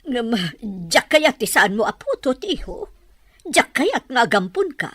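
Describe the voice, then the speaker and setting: A woman's voice: high-pitched, sing-song, measured pace, a bit bright, exaggerated voice. An actress playing an anxious, pleading elderly mother who longs for a grandchild in a Filipino radio drama.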